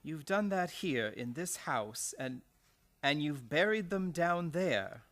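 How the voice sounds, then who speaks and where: A man playing a cold, boastful, murderous criminal in a live old-time radio play, deliberate and threatening. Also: on the high side, animated, a bit bright. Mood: boastful, menacing.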